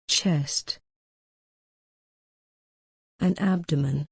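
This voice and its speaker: Female meditation guide, lowish pitch, very unhurried and measured, close dry sound. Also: no room sound. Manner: measured, instructional, gently pausing between phrases.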